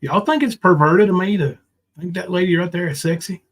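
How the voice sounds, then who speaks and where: A man's voice: high voice, a little fast. A middle-aged man hosting a casual livestream, chatting in an informal, foul-mouthed way. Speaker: livestream host.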